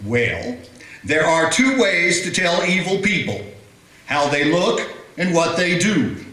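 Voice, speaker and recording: A man playing a superstitious frontier deputy in a radio play, with a slow folksy drawl and country sayings. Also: a little high, very animated, sharp tone, full character voice. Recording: very echoey room.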